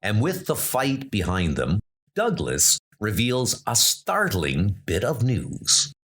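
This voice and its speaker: A man's voice: fairly low pitch, wildly swooping pitch, takes it slowly, a bit bright, slight background noise. A man narrating a comic book adventure in a dramatic, theatrical, scripted style. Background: music.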